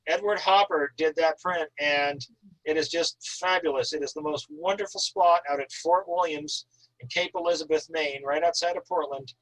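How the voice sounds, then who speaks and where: A man with a somewhat high voice talks in a casual, enthusiastic style. He is effusive and wordy, stressing his points like an author keen to share his work. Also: steady tone, moderate pace, fairly bright tone, no echo, rough recording. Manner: effusive, talkative, emphatic. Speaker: author, guest at an online reading. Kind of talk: casual enthusiastic chat about writing.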